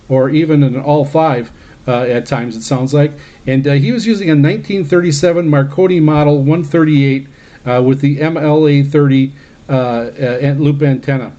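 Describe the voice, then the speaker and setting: A man hosting a radio program in a casual mailbag style, speaking haltingly with very frequent hesitations. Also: fairly high pitch, steady tone, warm tone, dull audio. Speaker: radio show host.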